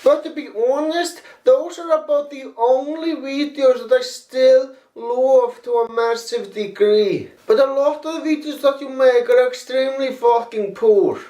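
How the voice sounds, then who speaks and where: A man's voice: very high voice, unhurried, hardly any pauses, dark tone, roomy sound, rough recording. A man reading a prepared critique in a blunt, profane, lecturing manner. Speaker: video critic. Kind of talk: reading a prepared response video.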